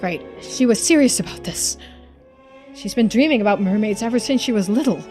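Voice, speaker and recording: A woman's voice: mid-range voice, animated, fairly quick, a little mellow, soft background music, close to an everyday voice. Woman acting an earnest, guilt-ridden schemer. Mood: anguished resolve. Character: guilt-ridden, determined schemer narrating a mystery game. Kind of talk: dramatic read-aloud of visual novel dialogue.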